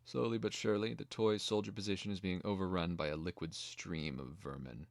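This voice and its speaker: A man narrating a screenplay as a scripted dramatic reading, in a measured way. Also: low-pitched, fairly even intonation, gravelly, dry recording.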